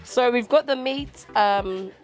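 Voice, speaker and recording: A woman's voice: a little high, takes it slowly. A young woman hosting a vlog, chatting, asking questions and laughing often in an outdoor restaurant kitchen yard. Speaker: vlogger host. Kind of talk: casual vlog chat and interviewing. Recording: music playing loudly behind.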